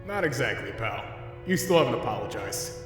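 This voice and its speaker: A man's voice: medium pitch, sing-song, brisk, lightly acted. A man playing a skeptical, wounded young skeleton brother in a comic dub, talking in an informal, hesitant, wry way. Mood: wry, guarded. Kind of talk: dramatic fan comic dub. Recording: very echoey long reverb under music.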